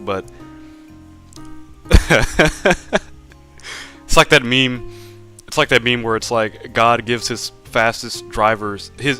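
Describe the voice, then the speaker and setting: A man's voice: mid-range voice, bright, forceful tone. A young man drawing live on stream, chatting casually with viewers, stammering and repeating words, laughing often.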